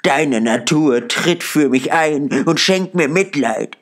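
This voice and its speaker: A man's voice: expressive, slow. A man voicing an old miser who pleads in terror and desperation with a raised, strained voice. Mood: pleading. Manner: desperate, high-pitched pleading.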